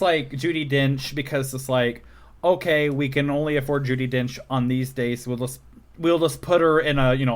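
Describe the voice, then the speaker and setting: A man hosting a casual comedic film review podcast, rambling and halting as he searches for words. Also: medium pitch. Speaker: podcast host reviewing films.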